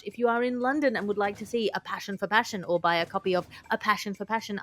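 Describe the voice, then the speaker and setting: Female comedy podcast host, mid-pitched, chatting with guests over faint music. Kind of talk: comedy panel chat.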